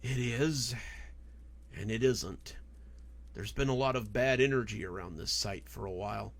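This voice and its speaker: A man voicing a grave, measured male companion telling haunted history in an audiobook. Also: lowish voice.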